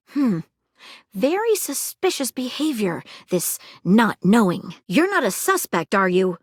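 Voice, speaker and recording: A woman's voice: mid-range voice, no echo, clean studio sound. A woman voicing an eager, prim detective magnifying glass in a video game, with arch, wordy, old-fashioned sleuth talk and a suspicious, wry temper. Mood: suspicious, probing. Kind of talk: theatrical video game character acting.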